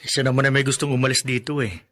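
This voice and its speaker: A man's voice: medium pitch, steady tone, quick pace, a bit bright, lightly acted. An actor playing a protective, worried young husband and expectant father in a radio drama. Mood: firm, protective.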